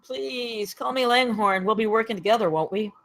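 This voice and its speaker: A woman's voice: a little high, normal speed, a bit bright. A woman at a script table read, playing an earnest, somewhat weary and defensive former government man. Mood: enthusiastic.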